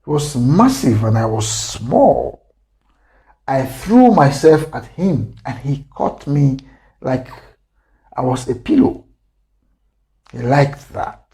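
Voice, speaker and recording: Storybook role: bold, calm, vengeful girl played by a man, halting and deliberate, soft-edged tone, very unhurried. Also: mid-range voice, low-quality recording. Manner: slow, deliberate, halting phrases. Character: bold young girl, calm, vengeful, recounting her past. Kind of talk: dramatic first-person storytelling.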